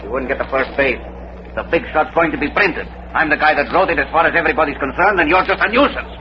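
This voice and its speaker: An actor playing a callous, sneering double-crosser with curt slangy talk in a radio melodrama. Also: male voice, fairly high pitch, quick pace, lightly acted. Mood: defiant. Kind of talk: 1940s radio crime melodrama. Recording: noisy, dull vintage radio recording.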